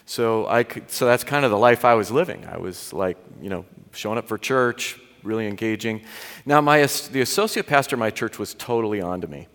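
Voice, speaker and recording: Candid, low-voiced man in a hall. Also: lively intonation, fairly quick, fairly bright tone. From US Pacific Northwest. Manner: candid and reflective, self-deprecating. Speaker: college president. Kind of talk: personal testimony. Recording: large hall with long reverb.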